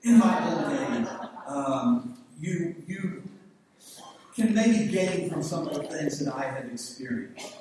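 A man's voice: a little high, lively intonation, slow pace, darkish tone, slight background noise. A man in his sixties with a Southern US accent, preaching a sermon in a folksy, joking, rambling way. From Southern United States. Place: church hall. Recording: echoey hall mic from far off.

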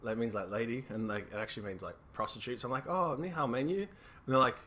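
A man's voice: medium pitch, quick pace, sharp tone. A young man from Australia, a guest chatting casually on a podcast, rambling and laughing often as he talks.

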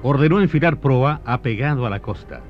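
A man's voice: mid-range voice, expressive, brisk, natural voice. A man narrating a scripted historical radio drama in a dramatic, declamatory way. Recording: dull lo-fi radio recording with music.

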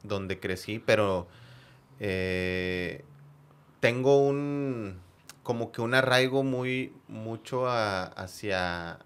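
A man with a northern Mexican accent chatting casually and telling anecdotes as a podcast guest, in a halting way with words repeated for emphasis. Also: on the low side, a bit bright, clear, resonant tone. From Monterrey area, northern Mexico. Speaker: podcast guest, football fan.

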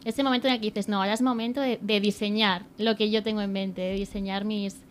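A woman's voice: mid-range voice, fairly even intonation, fast. A woman co-hosts a casual radio interview. She agrees often and gropes for words as she asks her questions, with faint noise in the background. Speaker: radio co-host.

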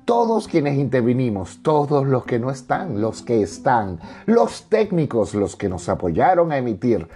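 A man's voice: medium pitch, warm tone. A man speaking as a radio drama director, giving an earnest, formal, declamatory speech of thanks.

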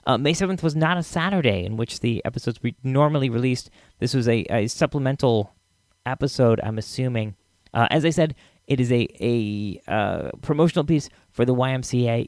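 A man hosting a podcast with a casual, halting, rambling introduction. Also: medium pitch, darkish tone, no room sound. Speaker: podcast host.

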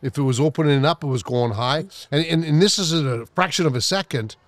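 A man in his late seventies tells old hockey stories, teasing and often breaking into laughter. His voice is a little high for a man, recorded dry and close with no room sound. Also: brisk, no room sound. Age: 70s. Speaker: podcast co-host, former goalie. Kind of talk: casual reminiscing chat.

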